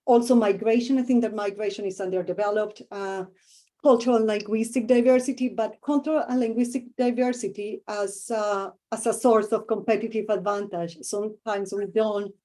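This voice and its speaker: Colombian woman professor, mellow voice. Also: medium pitch, steady tone, normal speed. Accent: Colombian Spanish. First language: Spanish. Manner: thoughtful, searching for words with many fillers. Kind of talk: interview answers.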